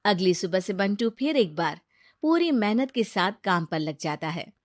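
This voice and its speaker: A woman narrating a scripted moral story in an even storytelling manner. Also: medium pitch, measured pace, dry recording, studio quality.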